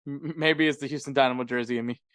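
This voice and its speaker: A man with a clean, smooth voice at a middle pitch co-hosts a casual sports podcast. He talks informally and jokily about soccer. The sound is dry and close, with no room ring. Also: fairly even intonation, rapid-fire, fairly bright tone, dry recording. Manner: informal, jokey.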